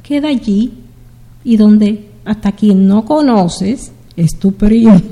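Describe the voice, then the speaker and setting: A middle-aged woman from the Dominican Republic hosting a community radio show, speaking in an unhurried, heartfelt way as she greets her listeners. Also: medium pitch, mellow, in a large hall. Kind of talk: slow, warm community radio sign-off.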